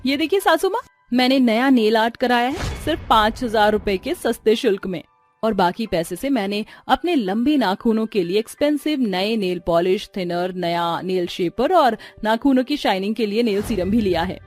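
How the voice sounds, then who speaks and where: A woman's voice: a little high, animated, normal speed, a little mellow, close to an everyday voice. A woman voicing a vain, whiny, insolent young daughter-in-law in a cartoon story, with theatrical, defiant delivery. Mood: bragging. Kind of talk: Hindi cartoon story character acting. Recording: music under the voice.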